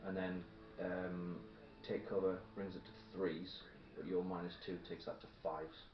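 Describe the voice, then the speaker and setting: A man's voice: low-pitched, flat delivery, a little slow. Man, casual rules talk over a tabletop game, muffled sound, strong room echo. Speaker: tabletop war game player. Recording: distant, muffled, echoey room with faint noise.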